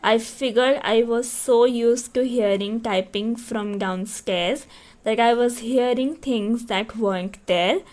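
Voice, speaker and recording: A woman's voice: fairly high pitch, takes it slowly, darkish tone. A woman co-hosting a podcast, chatting casually and reading a story, reacting with murmurs and laughs. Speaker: podcast co-host.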